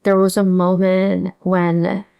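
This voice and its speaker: A woman painter, a native Korean speaker, answering interview questions thoughtfully with many pauses and fillers. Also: lowish voice, monotone, creaky, clean tone, no echo, highs cut off.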